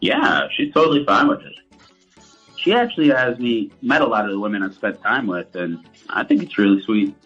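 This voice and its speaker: A man with a fairly low, husky voice on a muffled phone line. He talks casually and matter-of-factly, completely unbothered, with faint music underneath. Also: sing-song, normal speed, fairly bright tone. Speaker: phone call participant.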